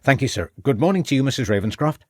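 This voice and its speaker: A man's voice: medium pitch, animated, fast, dry recording, clean studio sound, lightly acted. A man voicing a young, red-faced village constable who reports earnestly and deferentially.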